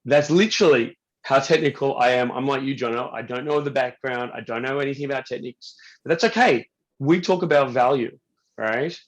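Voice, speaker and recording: A man's voice: mid-range voice, a little fast, dull audio. Self-effacing man chatting on a panel. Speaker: webinar panelist, business-side tech salesman. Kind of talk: casual anecdote on a panel. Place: online webinar.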